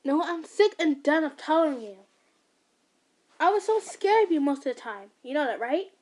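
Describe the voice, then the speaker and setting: A furious, defiant teenage boy, as a woman puts him on, shouting in a high, strained voice. He sounds fed up and bitter, his words tumbling out in indignant bursts. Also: normal speed.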